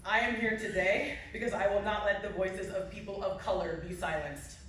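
A woman's voice: medium pitch, fairly even intonation, moderate pace, heavy background noise. A woman giving an emphatic award acceptance speech from a podium, building her points through repetition. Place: large indoor hall at a podium, to a seated audience. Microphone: podium mic, heard from a phone in the audience. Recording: echoey hall from far off.

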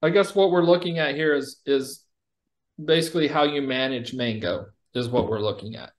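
Man casually demonstrating software. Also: mid-range voice, normal speed. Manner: informal, explanatory. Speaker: company executive giving a product demo. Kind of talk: casual software demo and explanation.

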